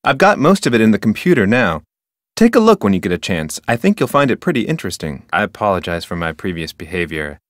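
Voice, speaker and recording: An actor playing an officious, dutiful and touchy park ranger in a video game, speaking in a formal, self-important manner. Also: male voice, on the low side, very animated, fast, no echo, clean studio sound. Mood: polite, welcoming, then stiff, subdued. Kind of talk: video game character dialogue.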